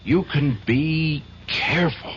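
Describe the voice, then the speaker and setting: A man's voice: a little high, slow, breathy edge, full character voice. A man playing an earnest, anxious young professional in a radio thriller, speaking with pleading intensity. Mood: urgent pleading. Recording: dull, noisy old radio broadcast.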